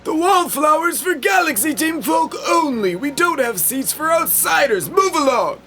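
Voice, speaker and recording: A performer voicing a suspicious, dismissive gatekeeper in a video game, loud, curt and scornful, with music behind. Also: high voice, lively intonation, moderate pace, heavily acted. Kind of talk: voiced video game dialogue.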